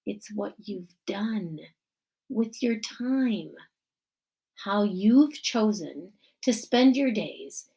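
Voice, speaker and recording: A woman voicing a middle-aged male school principal giving an earnest graduation speech in a children's novel, measured and emphatic with deliberate pauses. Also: mid-range voice, animated, mellow. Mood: earnest, reflective. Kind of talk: children's novel read-aloud.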